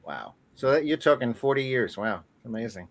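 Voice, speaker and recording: An older American man hosting a podcast, interviewing conversationally and piecing his questions together haltingly. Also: medium pitch, expressive. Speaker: podcast host.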